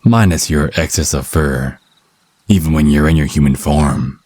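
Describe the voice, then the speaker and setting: A man playing a cocky, sardonic male vampire hunter in an ASMR roleplay, taunting with slow, deliberate phrasing. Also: darkish tone. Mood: taunting, composed. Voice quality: husky, very deep. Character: cocky, taunting male vampire hunter, youthful but over a century old.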